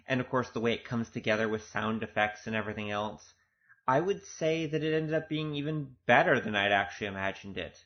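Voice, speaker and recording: A man speaking as an audio drama producer, giving an informal, earnest and conversational director's commentary. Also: medium pitch. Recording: dull, lo-fi recording.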